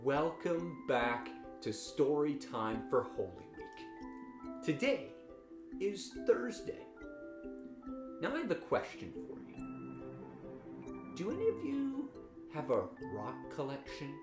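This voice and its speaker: In a roomy-sounding space with guitar music, a man in his thirties talks to children. He uses simple, emphatic phrasing at an unhurried pace, as the host of a story time. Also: on the high side, very animated. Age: 30s. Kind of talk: animated children's programme introduction. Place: living room, seated on a couch. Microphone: camera a few feet away.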